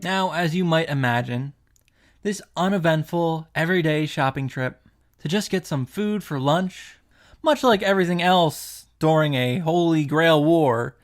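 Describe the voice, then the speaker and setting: A man's voice: on the high side, unhurried, soft background music. A man giving casual, sarcastic reaction commentary while reading and summarizing a visual novel. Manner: sarcastic asides, casual swearing.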